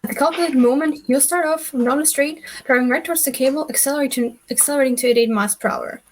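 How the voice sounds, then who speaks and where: Woman reading as an eccentric old male inventor, high-pitched. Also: fast, slight background noise, lightly acted, poor audio. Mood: explaining eagerly. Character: eccentric elderly male inventor, earnest and excitable. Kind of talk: film script table read.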